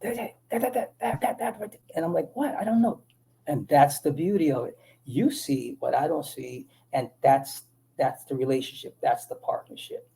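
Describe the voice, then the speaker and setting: Man, gravelly, dark-toned, from the Dominican Republic, animated interview storyteller. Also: fairly high pitch, normal speed. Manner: animated storytelling, many restarts. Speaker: actor guest. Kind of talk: casual interview talk.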